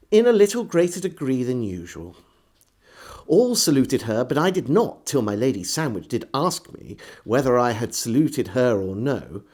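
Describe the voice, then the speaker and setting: Expressive storyteller, man, lively swooping pitch. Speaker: storyteller reading a historical diary aloud. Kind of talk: dramatised audiobook narration of a 17th century diary.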